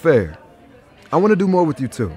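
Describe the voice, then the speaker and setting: An actor playing an easygoing, flirtatious scientist in an audio drama, teasing and earnest. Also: male voice, on the high side, big pitch swings, fairly quick, mellow. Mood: warmly flirty. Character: easygoing, flirtatious male scientist dating a woman.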